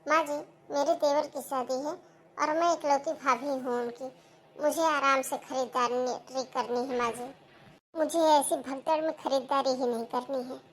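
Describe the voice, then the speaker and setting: A woman's voice: high-pitched, fairly even intonation, measured pace, low-quality recording. A woman voicing a whiny young daughter-in-law in a cartoon who complains and pleads. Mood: complaining. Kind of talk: cartoon voice acting.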